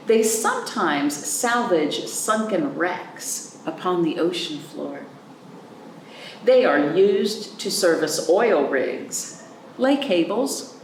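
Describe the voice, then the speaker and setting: A woman hosting a children's storytime, reading aloud and chatting in a sing-song, animated way, addressing young listeners directly. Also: fairly low pitch. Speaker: library storytime host. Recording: roomy, distant mic, faint background noise.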